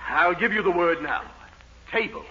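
A man's voice: sits high, expressive, moderate pace. A man acting a pompous, easily exasperated middle-aged psychiatrist in a 1940s radio comedy. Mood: weary, resolute. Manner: pompous, jargon-laden, exasperated. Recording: noisy, muffled 1940s radio recording.

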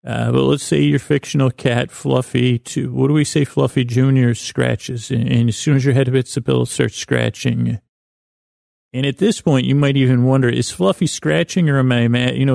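A middle-aged man with an American accent and a creaky voice, hosting a sleep podcast with a halting, meandering bedtime monologue that keeps digressing. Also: medium pitch, a little mellow, dry recording, clean studio sound. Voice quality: creaky, gravelly.

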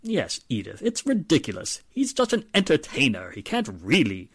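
A man's voice: a little high, huge pitch swings, moderate pace, fairly bright tone, slightly rough, no echo, studio quality, full character voice. A man playing an apologetic older society patriarch in 1940s radio style, formal, courteous and hesitant. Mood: puzzled, hesitant. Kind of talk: 1940s radio drama acting.

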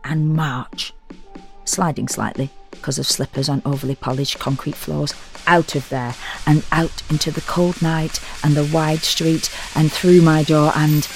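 A woman's voice: sits low, fairly even intonation, a little slow, vocal fry, a little mellow. An actress playing a sardonic, self-deprecating woman in an intimate, confiding first-person audio drama monologue. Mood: brisk, resolute. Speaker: solo performer reading a dramatic monologue. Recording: music under the voice.

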